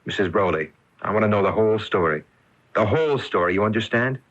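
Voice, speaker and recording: Blunt, husky, determined fiancé. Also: male voice, on the low side, lively intonation, fast talker, a bit bright. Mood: demanding, determined. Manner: blunt, insistent. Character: determined, suspicious fiancé confronting a landlady. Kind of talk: radio mystery drama acting. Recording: muffled 1980 AM radio broadcast tape.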